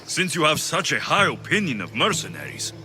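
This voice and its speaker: A man's voice: fairly high pitch, wildly swooping pitch, fairly quick, over music. An actor playing a confident, wry male mercenary warrior of ancient Greece in a video game, blunt and commanding. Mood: dry, confident. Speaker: video game lead character. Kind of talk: dramatic game cutscene acting.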